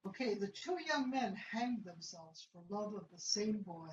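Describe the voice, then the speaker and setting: A woman's voice: mid-range voice, expressive, unhurried, a little hiss or hum. A woman reads the stage directions for a radio play in a matter-of-fact voice. The sound is roomy and slightly muffled. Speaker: narrator reading stage directions. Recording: dull roomy recording.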